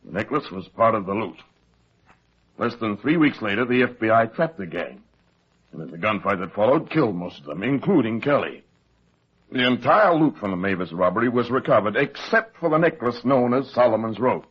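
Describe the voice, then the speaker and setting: Bossy old exec in a vintage radio play, husky, really up and down, dull lo-fi sound. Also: male voice, on the low side, sing-song, moderate pace. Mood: grave, explanatory. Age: older. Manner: businesslike, emphatic, authoritative. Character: brisk, commanding old male insurance company president. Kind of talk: old-time radio drama acting. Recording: muffled old radio broadcast recording.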